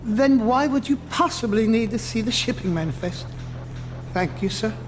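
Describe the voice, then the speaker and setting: A man's voice: sits high, normal speed, background noise, natural voice. A man playing an officious, pedantic baggage clerk in a video game, formal, over-polite and fussily bureaucratic. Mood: pedantically polite. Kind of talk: comic video game dialogue acting. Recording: long reverberant hall, music behind.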